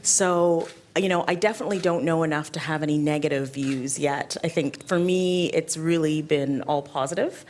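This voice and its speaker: A woman's voice: low voice, normal speed, few pauses, darkish tone, slight background noise. A young woman from Ottawa, Canada, speaking in a friendly, articulate way as a panel guest. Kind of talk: casual panel discussion.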